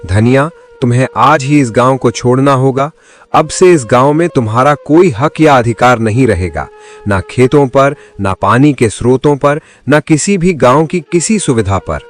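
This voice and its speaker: A man's voice: mid-range voice, lively intonation, normal speed, soft background music, natural voice. A man playing a stern village council head, speaking formally and with authority.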